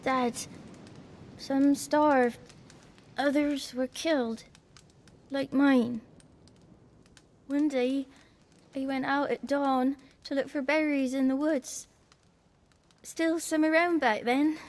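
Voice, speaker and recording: High-voiced, wary peasant child. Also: female voice, steady tone. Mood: haunted, distressed. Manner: halting, plain rustic speech. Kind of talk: video game cutscene acting.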